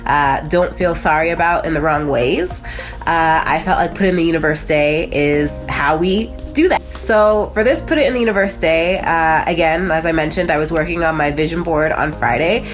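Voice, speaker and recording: A woman's voice: low-pitched, normal speed, soft background music. An American woman with a nasal, congested voice, hosting a casual motivational vlog in a chatty, conversational way with frequent asides. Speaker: host of a motivational video channel.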